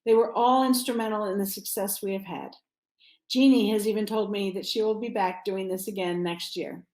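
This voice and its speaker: A woman's voice: mid-range voice, fairly even intonation, moderate pace, a little mellow, reverberant, low-quality recording. A woman delivering a prepared announcement to a congregation as a church campaign speaker.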